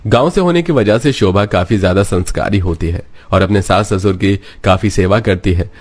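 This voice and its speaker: A man's voice: low voice, moderate pace. A man narrating a scripted cartoon moral story as its storyteller.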